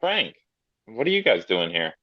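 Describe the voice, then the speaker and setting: A man's voice: lowish voice, huge pitch swings, normal speed, no echo, dull audio. A man reading a brash, foul-mouthed character's lines at a table read. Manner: brash and profane. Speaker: cast member at a table read. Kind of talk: casual table read performance.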